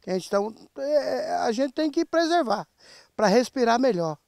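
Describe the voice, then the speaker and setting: Very high-pitched Brazilian man, clear voice. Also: sing-song, normal speed, darkish tone, no room sound, studio quality. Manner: plain-spoken, repetitive, colloquial. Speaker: neighborhood association president. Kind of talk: casual interview talk. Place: outdoors in a grassy clearing. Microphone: handheld reporter microphone.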